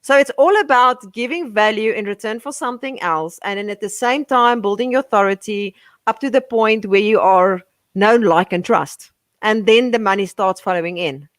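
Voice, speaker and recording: A woman speaking as a guest in a casual chat, agreeable and steady as she explains her approach. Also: mid-range voice, moderate pace, dry recording. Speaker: guest, business owner.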